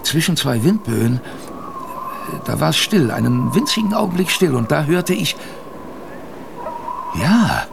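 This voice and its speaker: A man acting in a radio drama as an earnest, plain-spoken storyteller recalling his youth on a polar expedition, conversational, with pauses and repetitions. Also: fairly high pitch, sing-song, vocal fry, background noise, exaggerated voice. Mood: reflective, weary. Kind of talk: first-person radio drama narration.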